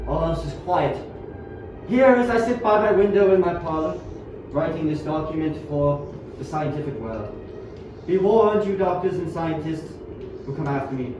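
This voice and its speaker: A man's voice: high-pitched, a little mellow. A young man acting an earnest, obsessive 19th-century scientist in an amateur radio play, with dramatic, formal delivery. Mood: grave, foreboding. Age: young adult. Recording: very echoey, distant, dull, faint noise.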